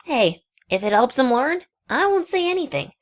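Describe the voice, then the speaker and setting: A woman's voice: high voice, very animated, moderate pace. A woman voicing a brash, confident young female pegasus pony from a cartoon, casual and cocky. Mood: breezy, confident.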